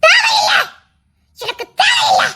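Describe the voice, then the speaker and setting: An actress playing a young woman who accuses her family through tears, shouting and sobbing in a TV serial drama. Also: extremely high pitch, animated, fast. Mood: bitter refusal. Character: young woman, fierce defiant daughter defending her father.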